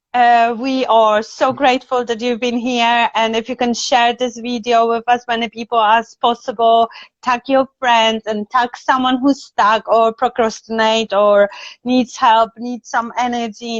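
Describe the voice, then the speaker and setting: A woman with a Polish accent, chatting casually and effusively as a live stream host. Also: on the high side. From Poland. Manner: effusive, chatty, frequent tag questions. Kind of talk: casual chat. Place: indoors, on a video live stream. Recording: dull, roomy video call audio.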